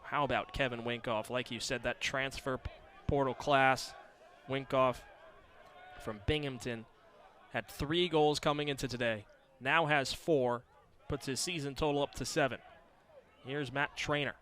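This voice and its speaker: A man's voice: medium pitch, steady tone, a little slow, long pauses, fairly bright tone, slight background noise. A man calling live sports as a play-by-play announcer on a broadcast.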